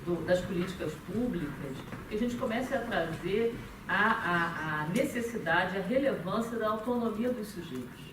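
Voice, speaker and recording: A woman with a Brazilian accent speaks at a meeting, thinking aloud and restarting phrases. She sounds far from the mic in an echoey room, with steady background noise. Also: medium pitch, normal speed, few pauses. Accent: Brazilian Portuguese. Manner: thoughtful, many hesitant fillers and restarts. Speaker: conference speaker. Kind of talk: academic talk at a meeting.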